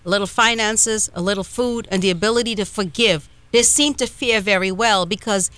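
A Trinidadian woman hosting a live radio talk show, chatty with quick asides. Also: medium pitch, fairly bright tone, dry recording. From Trinidad and Tobago.

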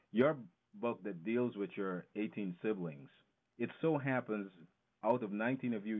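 A man explaining things as an interview guest, emphatic and fond of posing questions that he then answers himself. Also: on the low side. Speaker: financial educator, interview guest. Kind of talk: explanatory radio interview talk. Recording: narrow, tinny phone-line sound.

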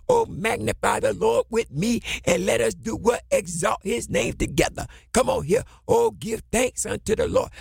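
A man preaching a fervent sermon in a raspy, gravelly voice, exhorting with impassioned, repeated call-and-response phrases that swing into shouts. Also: extremely high pitch, no echo, studio quality.

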